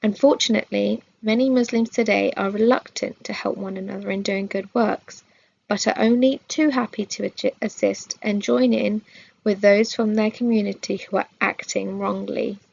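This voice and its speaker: A woman reads a religious lesson from a script with a measured, formal delivery. Her voice sits at a middling pitch with a gravelly fry in it. The recording is a little dull and lo-fi. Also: fairly even intonation, darkish tone. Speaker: reader of a religious study.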